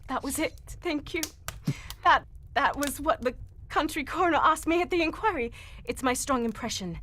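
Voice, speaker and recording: A woman's voice: sits high, moderate pace, bright, forceful tone, slight background noise. A woman performing an earnest, distressed young Englishwoman telling her tale in a theatrical live radio mystery. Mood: earnest, explaining. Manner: theatrical, melodramatic. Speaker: voice actor reading cold. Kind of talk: live old-time radio mystery acting.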